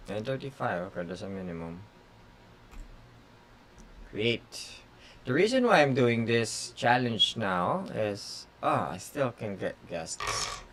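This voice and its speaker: A chess grandmaster whose first language is Tagalog, streaming blitz games and thinking aloud in short, halting phrases full of fillers. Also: male voice, medium pitch, big pitch swings, a bit bright, a little hiss or hum. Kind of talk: casual live-stream commentary.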